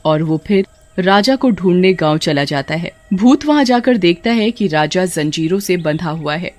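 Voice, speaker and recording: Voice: mid-range voice, expressive, normal speed, darkish tone, faint music behind. A narrator reading a scripted horror tale for animation in a dramatic storytelling style.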